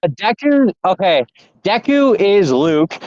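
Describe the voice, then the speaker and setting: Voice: mid-range voice, sing-song, unhurried, a little mellow, no echo, muffled recording. A speaker in casual podcast banter, rambling, repeating phrases and swearing freely. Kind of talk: casual chat, podcast banter about pop culture.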